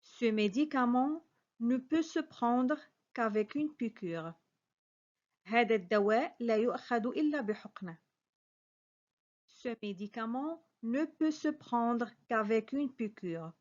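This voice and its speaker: High-voiced teacher woman drilling phrases. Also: expressive, unhurried, darkish tone, dull audio. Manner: careful, clearly spoken model sentences, each repeated. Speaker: French teacher. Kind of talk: slow repeated phrase drills with quick translations.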